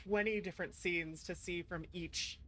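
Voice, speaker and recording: A woman's voice: lowish voice, fairly even intonation, moderate pace, a bit bright, slight background noise. A woman streamer giving casual live game commentary, playful and rambling as she thinks aloud.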